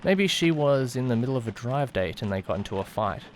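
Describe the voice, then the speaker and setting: A man playing an anxious young student driver, narrating an eerie audiodrama in an expressive, brooding way with hesitant speech. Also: medium pitch, a little fast, slight background noise, close to an everyday voice. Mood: puzzled. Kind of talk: first-person dramatic narration, visual novel audiodrama.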